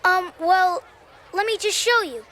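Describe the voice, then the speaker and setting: A woman puts on an earnest young man puppet. The voice is very high and sharp, with polite, halting delivery for a puppet show. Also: slight background noise, full character voice. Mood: nervous, earnest. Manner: hesitant, earnest. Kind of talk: church puppet show acting.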